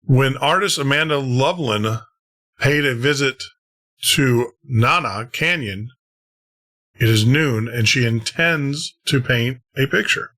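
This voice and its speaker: A man's voice: mid-range voice, takes it slowly, pushed and bright. A man hosting a late-night podcast, chatting casually and rambling with chuckles before introducing an old radio show. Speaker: podcast host.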